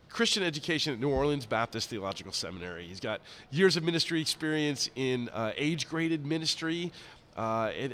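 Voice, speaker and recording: A man with a raspy, nasally congested voice, chatting casually as a podcast host. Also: medium pitch, fairly bright tone. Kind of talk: casual interview chat. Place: conference center during a busy event. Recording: live at a busy event venue.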